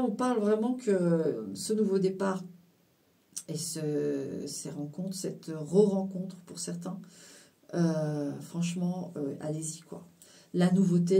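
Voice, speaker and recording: A woman with a low, mellow voice gives a rambling tarot reading, stopping and restarting her sentences. She sounds a bit far from the microphone, with some room around her voice. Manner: halting, full of fillers and restarts, mixes in English phrases. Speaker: tarot card reader. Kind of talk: casual spiritual card reading to viewers.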